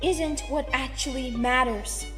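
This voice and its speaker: Woman playing high-voiced protective mother pony. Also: a little slow. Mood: firm, restrained. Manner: polite, earnest, firm. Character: protective, polite young mother unicorn pony. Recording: long reverb with music behind.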